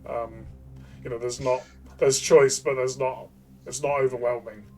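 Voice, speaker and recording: A man with a mid-range voice gives his take as co-host of a tabletop game review. He explains in a casual, conversational way. Soft music sits in the background. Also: mid-range voice, fairly even intonation, measured pace. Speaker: co-host of a role-playing game review show.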